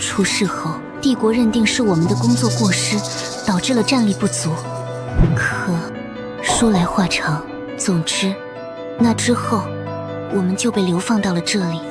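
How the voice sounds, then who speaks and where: A woman's voice: mid-range voice, moderate pace, natural voice. A young actress playing an earnest, spirited young woman from a family of mechanics, over background music. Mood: somber. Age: young adult. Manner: earnest, urgent. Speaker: heroine. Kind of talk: animated drama dubbing.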